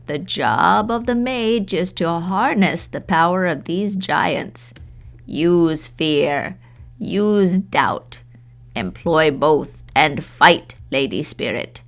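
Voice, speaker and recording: A woman voicing a solemn mage who gives counsel in slow, measured words, in a storybook reading. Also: fairly low pitch, animated. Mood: grave.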